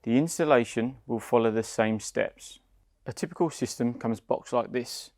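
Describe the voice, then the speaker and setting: A man's voice: medium pitch, moderate pace, darkish tone, dry recording, clean studio sound. A man giving a scripted instructional product narration, explaining plainly step by step. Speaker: company head of client development, instructor.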